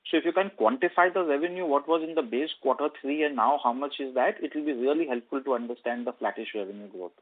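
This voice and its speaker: A man's voice: medium pitch, fast, few pauses. A man with an Indian accent politely putting questions as an analyst on an earnings call. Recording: narrow telephone conference line.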